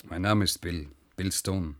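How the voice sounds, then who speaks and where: An actor playing a brutal, suspicious gunman in a German radio drama, husky and curt as he gives orders. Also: low-pitched, unhurried. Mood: guarded. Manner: curt, commanding. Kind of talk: German radio play acting.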